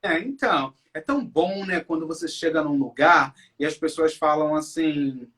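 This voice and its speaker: A man's voice: on the high side, normal speed, a bit bright. Man, forties, Brazilian accent, conversational interview guest, emphatic, audience-addressing, frequent tag questions. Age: 40s. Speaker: interview guest, English teacher. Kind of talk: conversational interview, personal storytelling.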